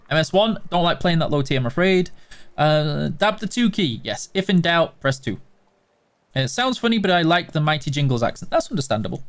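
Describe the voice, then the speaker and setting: A Yorkshire man with a fairly high voice chats through a live game stream, fielding viewer questions one after another. He is casual and self-deprecating, often joking at his own expense. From Yorkshire, England. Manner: chatty, self-deprecating, hums between answers.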